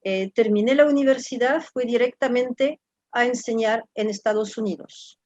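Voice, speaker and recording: French-accented woman, thoughtful and halting. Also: a little high, a little mellow, dry recording, dull audio. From France. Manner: thoughtful, halting, repeats words while searching. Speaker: interview guest, professor. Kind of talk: reflective conversational interview.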